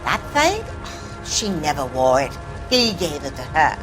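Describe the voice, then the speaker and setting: A woman's voice: sits low, sing-song, a little slow, over music, low-quality recording. An actress playing a defensive landlady who answers a detective evasively and indignantly. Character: defensive landlady protecting her reputation.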